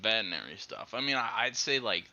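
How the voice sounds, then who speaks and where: A man's voice: fairly low pitch, fast talker, dull audio, slight background noise. A young man as an interview guest in a casual chat, joking and laughing often, talking close to a handheld mic. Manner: giggly, joking, lively. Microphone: handheld mic held close.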